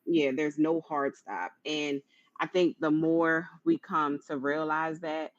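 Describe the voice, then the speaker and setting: A woman with a low-pitched voice hosting a conversational interview in an encouraging, affirming manner. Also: slow, no echo. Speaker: interview host. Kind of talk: conversational live-streamed interview. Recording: dull lo-fi online stream audio.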